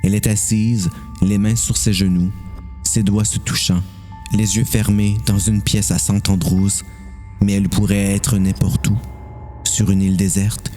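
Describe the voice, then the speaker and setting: A man narrates an audio drama story. His voice is soft-edged and dark, pitched a little low, with faint music underneath as he reads.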